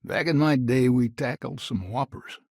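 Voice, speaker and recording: A grizzled older man, stern and proud, as a video game patriarch. His voice is gravelly and husky, recorded close and dry. He speaks curtly and gruffly, in folksy frontier phrases. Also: medium pitch, normal speed, no room sound. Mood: weary, stubborn. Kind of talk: dramatic video game dialogue acting.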